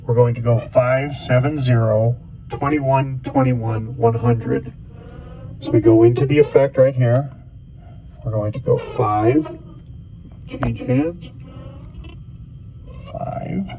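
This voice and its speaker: A man's voice: medium pitch, slow pace, pauses often, warm tone, slight background noise, poor audio. A man casually talking through a device setup step by step as a tutorial host. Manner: casual, talks through each step as he goes.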